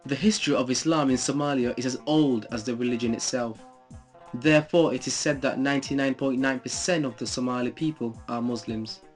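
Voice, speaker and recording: A man reading scripted documentary narration in a measured, factual way. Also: mid-range voice, steady tone, soft background music.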